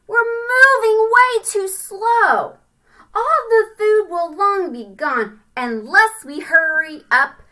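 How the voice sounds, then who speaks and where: Woman performing a tiny storybook ant, bossy and excitable, very high and bright, urgent exclamations. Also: heavily acted. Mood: alarmed, urgent. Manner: exclaiming, urgent, drawn-out rhyming delivery. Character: bossy, excitable littlest ant in a picture book.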